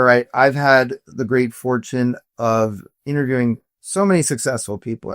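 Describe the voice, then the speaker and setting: A man's voice: medium pitch, no echo, clean studio sound. A man who grew up in South Florida, hosting a podcast interview in an upbeat, conversational way. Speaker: podcast host, attorney. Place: podcast studio.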